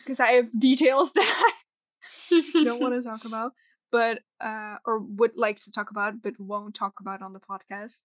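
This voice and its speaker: A woman's voice: a little high, steady tone, normal speed, no echo. A young woman co-hosting a podcast, talking casually with lots of fillers and restarts. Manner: rambling, many fillers and restarts. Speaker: podcast co-host.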